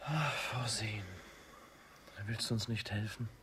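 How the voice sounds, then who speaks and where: An actor playing a lovesick young son, earnest and formally polite, in a classic comedy radio play. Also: male voice, on the low side, takes it slowly, a bit bright, slight background noise. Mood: pleading. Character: lovesick young son, respectful but guarded with father.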